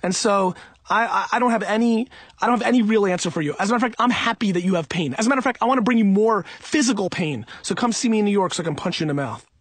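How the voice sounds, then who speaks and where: Guy around 40, high raspy voice, blunt off the cuff advice, dry close sound. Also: animated, rapid-fire, a bit bright, no room sound. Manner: blunt, emphatic, informal. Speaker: audiobook author answering listener questions.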